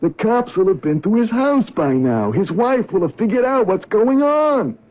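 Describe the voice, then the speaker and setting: An eager, high-pitched henchman. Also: sing-song, moderate pace. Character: eager male henchman, sidekick to the boss. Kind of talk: 1970s radio drama acting. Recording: dull old radio broadcast.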